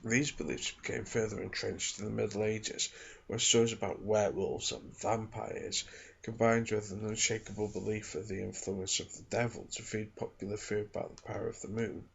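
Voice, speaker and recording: A man with a low, very creaky voice reads aloud in a hushed ASMR style. His delivery is measured and soft-spoken, with a heavy gravelly fry running through it. The home recording sounds a little dull. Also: little pitch movement. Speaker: ASMR reader and channel host. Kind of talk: ASMR reading aloud from a folklore book. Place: small home studio in a back bedroom.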